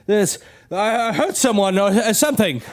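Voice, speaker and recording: An actor playing an excitable, cocky young brother who spooks easily, in an audio drama. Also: male voice, high-pitched, normal speed. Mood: shaken.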